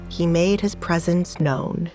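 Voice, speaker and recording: Narrator: woman with a lower voice reading a drama evenly over faint music. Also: slow, warm tone. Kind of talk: scripted story narration.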